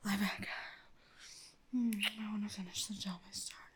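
A young woman speaking in an intimate audio roleplay, addressed to the listener, in a breathy, murmuring whisper. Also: medium pitch, fairly even intonation, moderate pace, slight background noise.

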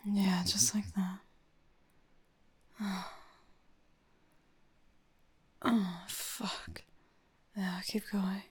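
Young woman whispering slow and breathy, talking right to you, lots of sighs. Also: mid-range voice, flat delivery, darkish tone.

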